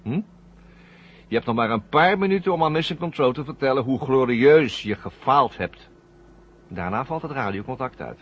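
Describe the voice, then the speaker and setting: An actor playing a smug, scornful traitor astronaut who talks in a mocking, condescending way, in a 1970 Dutch radio drama. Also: male voice, mid-range voice, lively intonation, moderate pace, breathy edge. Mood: taunting. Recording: muffled, humming 1970 radio recording.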